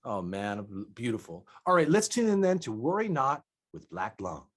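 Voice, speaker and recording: A man hosting an interview over a call, full of generous praise, his sentences often sinking softly at the end. His pitch sits in a plain middle range. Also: huge pitch swings, moderate pace, heavy background noise. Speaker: interviewer host. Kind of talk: casual interview.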